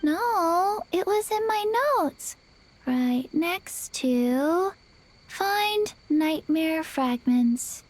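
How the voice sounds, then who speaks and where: A woman voicing a small, earnest zombie child girl in a video game, speaking in a halting deadpan. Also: very high voice, heavily acted. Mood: flat, earnest. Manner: deadpan, third-person, halting.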